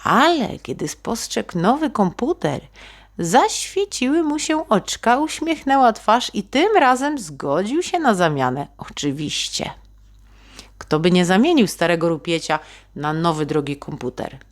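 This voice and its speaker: Slow, animated woman storyteller. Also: mid-range voice. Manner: dramatic, addresses young listeners. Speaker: children's storyteller. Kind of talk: expressive bedtime-story narration in Polish for children.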